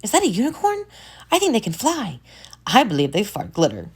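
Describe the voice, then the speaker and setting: A woman voicing excited small children at a zoo, high and eager. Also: a little fast, slightly rough. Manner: eager, wondering.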